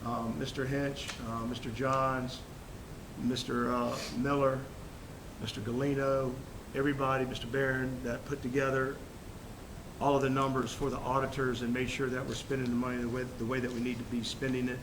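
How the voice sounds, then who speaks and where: Congested man giving a school report. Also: flat delivery. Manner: halting, with many fillers and restarts. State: congested from a head cold. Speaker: school superintendent. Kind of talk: formal report at a public meeting. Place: school board meeting room. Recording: noisy room recording with rumble.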